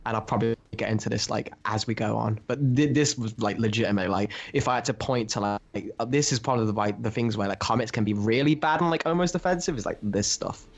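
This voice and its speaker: A man in a casual podcast discussion, talking haltingly with many filler words. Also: on the low side, vocal fry, dull audio. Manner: halting, peppers speech with "like". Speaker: podcast co-host.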